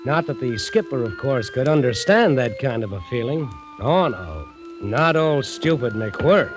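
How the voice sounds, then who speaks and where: A man's voice: mid-range voice, sing-song, a little slow. An actor playing a resentful young chief mate who tells his story wryly and colloquially in a 1940s radio drama. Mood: sardonic. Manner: wry, colloquial, exasperated. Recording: old radio recording with music.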